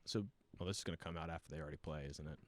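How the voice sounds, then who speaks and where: A man with a very low voice speaks casually as a guest on a podcast. He talks in a relaxed, meandering way, full of fillers and loose asides about music. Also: rapid-fire, no room sound. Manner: relaxed, rambling with many fillers. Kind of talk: casual chat.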